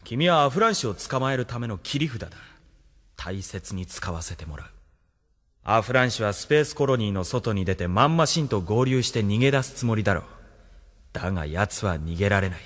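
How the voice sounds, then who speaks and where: Low-voiced, coolly ambitious officer. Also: male voice, animated, a little fast, a bit bright. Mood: mocking, confident. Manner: cool, self-assured, mocking. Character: ambitious, cynical military officer risen from the slums. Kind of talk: anime-style audio drama acting.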